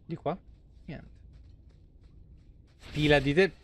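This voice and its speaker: Young man, slightly high voice, game streamer, casual commentary, playful, swearing freely. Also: steady tone, slow pace, a bit bright, slight background noise. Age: young adult. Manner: playful, foul-mouthed chatter.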